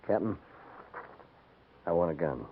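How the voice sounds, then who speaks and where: An actor playing a proud, defiant cavalry deserter, husky and earnestly pleading, in 1950s radio western style. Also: male voice, sits low, expressive, a little slow, phone-quality sound, a little hiss or hum. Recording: band-limited 1950s radio recording.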